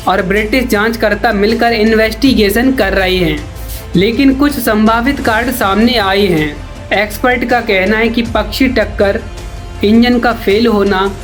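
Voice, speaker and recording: A woman delivers scripted documentary-style news narration. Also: mid-range voice. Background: background music.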